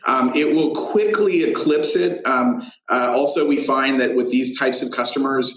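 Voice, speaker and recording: Man answering haltingly in an echoey room. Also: medium pitch, fairly bright tone, slight background noise. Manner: halting, filler-heavy, thinking aloud. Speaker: tech company founder and CEO being interviewed. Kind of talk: unscripted business interview answers. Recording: roomy, echoey sound.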